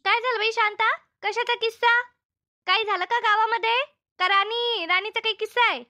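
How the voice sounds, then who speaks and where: Woman voicing a very high, piercing, nagging cartoon mother. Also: fairly even intonation, moderate pace, pushed and bright. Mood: inquisitive. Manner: nagging, repetitive, fretful. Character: Shanta's fussing, scolding older village mother. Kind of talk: comedy cartoon dubbing.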